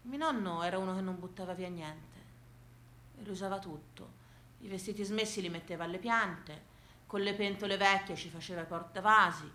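A woman with a Tuscan accent telling a theatrical monologue for radio in an animated, folksy way. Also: medium pitch, reverberant. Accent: Tuscan Italian. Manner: animated, folksy, dialect-laced delivery. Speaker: actress and solo storyteller.

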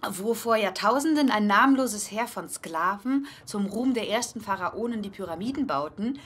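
An actress playing an earnest, questioning young woman in a naturalistic radio drama, intimate and often hushed. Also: mid-range voice, lively intonation, moderate pace, slightly rough. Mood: reading aloud.

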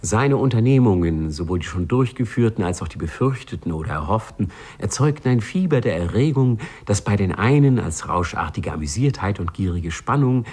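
A man narrating a 1950s radio play in a measured, ironically solemn manner, with a husky voice. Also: lowish voice, a little mellow.

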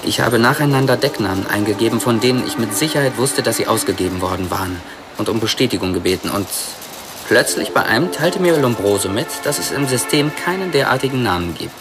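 An actor playing a clever, slightly smug computer expert, explaining things in a wry, pedantic way in a radio drama. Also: male voice, medium pitch, lively intonation, quick pace, over music, natural voice. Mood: pleased, cunning.

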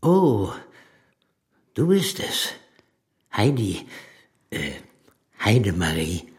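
An actor playing a gentle, whimsical old Pope who talks playfully to a child and drops in Italian, in a German radio play. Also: male voice, fairly low pitch, sing-song, full character voice. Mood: kindly, curious. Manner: drawn-out, playful, sprinkles in Italian.

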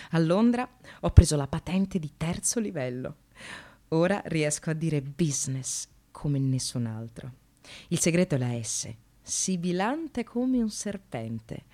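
A woman's voice: low voice, darkish tone. An actress playing an ironic, anxious woman in precarious work, confiding her life in a wry, theatrical radio monologue. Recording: radio play with music behind.

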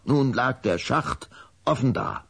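A man's voice: medium pitch, very animated, slow pace, gravelly, fairly bright tone, husky. A man narrating a children's audio drama in a dramatic storybook style.

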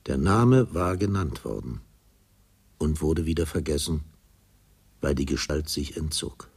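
A man narrating a literary radio drama in a husky, measured, grave manner. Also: lively intonation, a little mellow. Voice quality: deep, husky.

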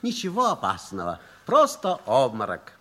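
An actor playing an effusive, excitable older doctor and devoted friend in a 1960s Soviet radio play. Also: male voice, medium pitch, normal speed. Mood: relieved, devoted. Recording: old radio recording, faint music.

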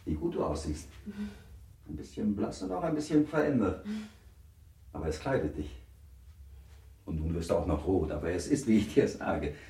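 A gentlemanly middle-aged husband in a radio drama, courteous and gently probing. His voice is lowish and mellow, swinging widely in pitch as he talks. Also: big pitch swings, normal speed, gravelly, pauses often, heavily acted. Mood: affectionate, teasing. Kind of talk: German radio play acting.

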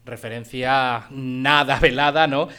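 A man's voice: medium pitch, steady tone, takes it slowly, bright, forceful tone. A man with a Spanish accent from Spain hosting a radio show, chatting informally and drifting into asides as he presents music. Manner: informal, digressive. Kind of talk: casual chat, presenting music.

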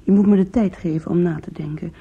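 An actress in a Dutch radio play playing a weary, anguished young woman with a shamed past, confessional, halting and pleading. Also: on the low side, steady tone, breathy edge. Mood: hesitant, tender. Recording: muffled, lo-fi old radio recording.

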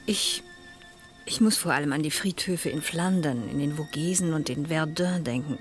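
Low-voiced, reserved retired schoolmistress played by a woman. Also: soft background music, natural voice. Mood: reflective. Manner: measured, dry, thoughtful. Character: reserved, reflective retired French schoolmistress at a spa. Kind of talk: 1970s German radio play acting.

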